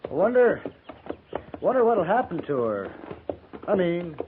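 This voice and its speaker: A man's voice: high-pitched, sing-song, a little slow. An actor playing a plain, questioning cavalry trooper, deferential to his captain, in a 1950s radio Western. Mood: doubtful, wondering. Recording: noisy, narrow-band 1950s radio broadcast.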